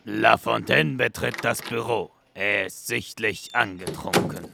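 A man reading scripted narration for a crime audio drama in a creaky voice. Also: fairly low pitch, measured pace, heavy background noise.

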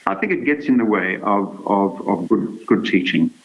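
A man's voice: fairly low pitch, very animated, moderate pace, muffled recording. An older man from South Africa, a woodworking teacher talking in an interview, often repeating words and restarting his sentences. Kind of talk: podcast interview.

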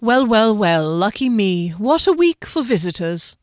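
A woman's voice: mid-range voice, a little fast, no echo, natural voice. Woman as a dry, sardonic male wizard.

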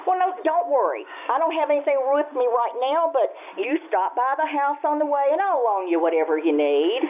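A folksy, worrying small-town American shop clerk acted by a woman in a vintage radio play. Her voice is high and very animated, heard through muffled, faintly noisy sound. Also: moderate pace. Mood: neighborly. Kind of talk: old-time radio stage acting.

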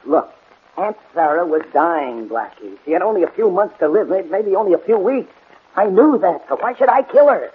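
A man's voice: sits high, sing-song, normal speed. An actor playing an anxious, defensive male murder suspect, pleading earnestly in a 1940s radio drama. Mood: pleading, insistent. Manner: earnest, insistent. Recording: muffled, noisy 1940s radio recording.

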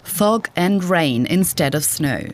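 Very low-voiced female news reporter. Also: lively intonation, slow pace, a little hiss or hum. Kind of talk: scripted report narration.

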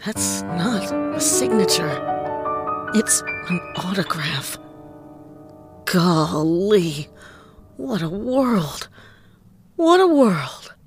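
A hardboiled woman detective swings freely in pitch as she cracks wise with cocky, theatrical flair. She sounds like the star of a comic noir radio play, with music playing underneath. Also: sing-song, very slow, pauses often, exaggerated voice. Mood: wry. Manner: wry, theatrical. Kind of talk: comic noir audio drama.

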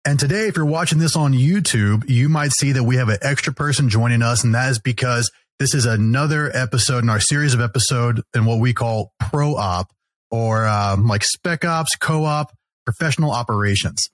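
Texan man, attorney co-host, friendly measured delivery, mid pitch, dry close mic. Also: dry recording. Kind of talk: podcast interview.

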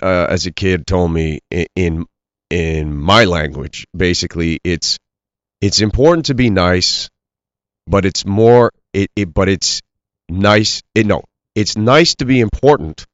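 Low-voiced man, from Iran, earnest, halting, dry muffled sound. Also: no echo. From Iran, raised in Pennsylvania. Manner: earnest, halting, many restarts. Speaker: actor guest. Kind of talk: interview conversation.

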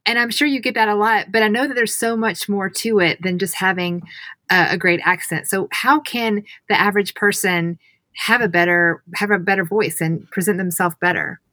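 A woman hosts an interview, responding with keen enthusiasm and praise. Her voice sits in a middle pitch. The sound is close and dry, with no room around it. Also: fairly even intonation, normal speed, pushed and bright, no echo. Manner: enthusiastic, affirming. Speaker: interviewer host. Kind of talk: conversational interview.

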